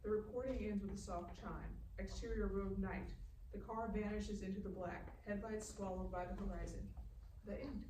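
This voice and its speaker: A woman's voice: medium pitch, steady tone, normal speed, heavy background noise. Woman narrating, distant in an echoey room. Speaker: scriptwriter reading stage directions. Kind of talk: table read narration and casual chat. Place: live event room at a film festival.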